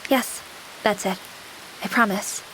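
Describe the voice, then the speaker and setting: A woman's voice: medium pitch, fairly even intonation, takes it slowly, pushed and bright, a little hiss or hum, rough recording. A woman playing a young female AI companion with an anime-girl persona in a visual novel game, devoted and formal but playful. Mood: firm. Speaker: game character.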